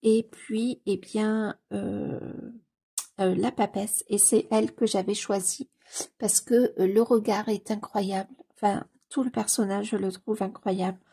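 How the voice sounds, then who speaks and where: A woman with a cold talks slowly and casually, her voice very husky with a gravelly edge and a dark, mellow tone. She gushes over what she sees, repeats her delight, and often hesitates on fillers. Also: medium pitch, steady tone. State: has a cold. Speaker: coloring book YouTuber. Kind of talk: casual commentary while leafing through a book.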